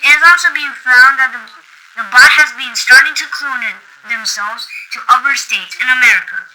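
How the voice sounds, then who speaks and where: A woman reading an emergency alert in a crisp, official delivery. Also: high-pitched, normal speed, noisy background.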